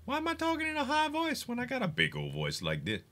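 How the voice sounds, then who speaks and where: A man chatting casually on a live game stream, excitable and swearing, hyping games and laughing often. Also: extremely high pitch, fast talker, a little hiss or hum.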